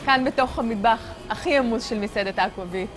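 A woman interviewer narrating a restaurant feature in a brisk, lively voice-over. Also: fairly high pitch, music in the background. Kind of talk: documentary voice-over.

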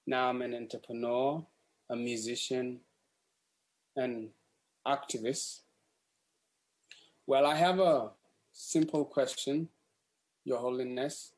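A young man from South Sudan speaks at an unhurried, measured pace as he puts a prepared question. His delivery is earnest and a little formal, picked up by a webcam on a video call from his home. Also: medium pitch, fairly even intonation, darkish tone, poor audio. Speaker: activist asking a question. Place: home room.

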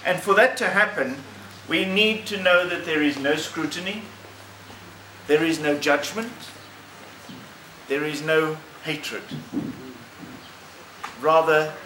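A middle-aged man giving a conference presentation in an emphatic, measured, oratorical way with repeated phrasing. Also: fairly high pitch, pushed and bright. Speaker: clergyman presenting as committee member. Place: conference room, indoors. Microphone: handheld microphone. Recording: roomy, slightly distant, faint noise.

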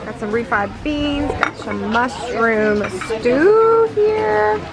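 A woman's voice: a little high, animated, drawn-out words, gravelly. A woman vlogging outdoors on a beach, cheery and encouraging as she talks. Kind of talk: casual travel vlog. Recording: music in background.

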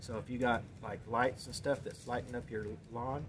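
A man in his sixties casually explaining a how-to method in a plain, halting way, searching for words. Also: medium pitch, little pitch movement. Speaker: guest explaining a home method. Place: indoors in a cluttered shop. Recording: noisy room with background ambience.

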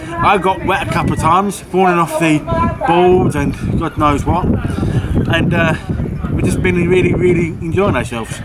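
A man's voice: a little high, steady tone, measured pace. A man in his thirties chatting cheerfully and rambling to the camera outdoors on a quay. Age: 30s. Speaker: trip participant interviewed. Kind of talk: casual chat to camera. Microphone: camera mic across a table. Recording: outdoor noise from traffic.

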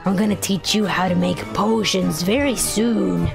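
A woman's voice: medium pitch, measured pace, gravelly. A woman voicing a bossy, self-important male scientist in a video game roleplay. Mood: commanding. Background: music.

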